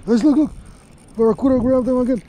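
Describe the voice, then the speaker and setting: A man's voice: extremely high pitch, steady tone, fast. A man giving casual, slangy running commentary to viewers while fishing outdoors, recorded on a body-worn action camera. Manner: informal, slangy, addressing viewers as guys. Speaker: fishing vlogger. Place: outdoors on an ocean fishing pier. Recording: loud wind noise on action camera outdoors. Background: loud wind and sea ambience.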